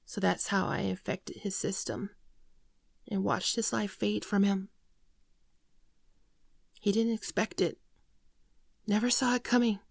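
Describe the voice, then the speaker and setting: A gentle shapeshifter alien woman, played by an actress, confesses her sorrows in an ASMR roleplay. Her soft voice often thins toward a whisper and crackles with vocal fry. She speaks in an earnest, halting way, in a very dry recording. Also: medium pitch, no room sound. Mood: grave, regretful. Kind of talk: ASMR roleplay monologue, scripted.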